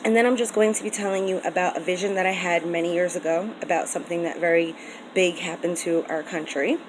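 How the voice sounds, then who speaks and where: An earnest woman from the United States speaks to her viewers in a low-leaning voice, circling back with ums and restarts. Her talk is loose and personal, and a faint hiss sits under the recording. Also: fairly even intonation, fast. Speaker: vlogger. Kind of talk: casual personal vlog talk.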